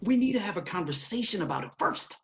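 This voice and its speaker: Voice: mid-range voice, sing-song, fast, muffled recording, a crowd in the background. An actor playing a blunt, stern, profane studio executive in a screenplay table read. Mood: disapproving.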